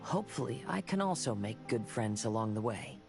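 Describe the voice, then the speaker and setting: A woman voicing a gentle, earnest, soft-mannered young wanderer in a video game. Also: rumbling low, animated, fairly quick, vocal fry, music in the background, full character voice. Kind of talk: game voice acting.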